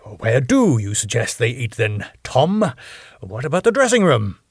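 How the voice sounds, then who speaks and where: A man's voice: mid-range voice, big pitch swings, unhurried, husky, no room sound, clean studio sound. A man doing a sarcastic club owner. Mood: strained. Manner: strained, pompous, sarcastic. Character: sarcastic middle-aged male club owner.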